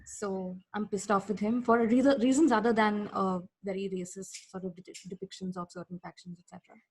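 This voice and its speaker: A woman podcast guest talking casually and emphatically about her opinions, with a husky voice. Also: medium pitch, fairly even intonation, brisk, poor audio. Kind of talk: casual opinionated pop-culture chat.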